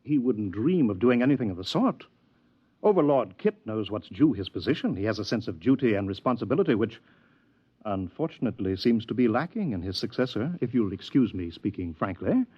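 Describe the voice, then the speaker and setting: Fussy, pompous adviser, swooping pitch. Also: male voice, very animated, fairly quick, husky. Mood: persuasive, pompous. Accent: American. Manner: flustered stammering, wheedling, pompous. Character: fussy, unctuous older male adviser and smooth salesman. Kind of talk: 1950s radio drama acting. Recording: dull vintage 1950s radio recording.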